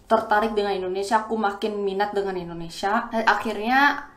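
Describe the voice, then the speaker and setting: A woman in her twenties with a Korean accent talks casually, as if explaining to friends in a vlog. Her pitch is average for a woman. The room adds a clear echo around her voice. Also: a little fast, a bit bright. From South Korea. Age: 20s. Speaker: student vlogger.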